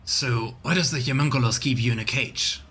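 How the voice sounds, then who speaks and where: A man streaming a video game, reading dialogue and narration aloud in a measured way with casual asides. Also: mid-range voice, a bit bright, husky. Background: game music.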